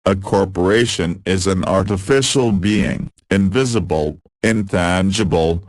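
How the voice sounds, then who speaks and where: A man reading quotations as scripted narration, in a measured and formal delivery. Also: low-pitched, dry recording.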